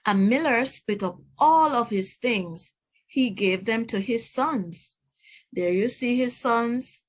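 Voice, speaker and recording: A woman teacher narrating a children's story aloud in a slow, clear storytelling manner. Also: a little high.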